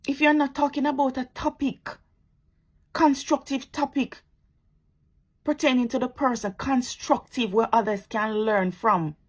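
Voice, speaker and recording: A woman's voice: high voice, fairly even intonation, a little slow, slightly rough. A woman with a Jamaican accent giving impassioned, preachy commentary to her social media viewers, repeating her points and turning sarcastic.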